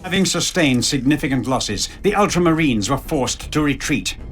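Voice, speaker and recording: Solemn, higher-pitched war chronicler. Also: male voice, animated, moderate pace, a bit bright, faint music behind. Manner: formal, portentous. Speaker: narrator. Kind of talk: scripted dramatic game narration.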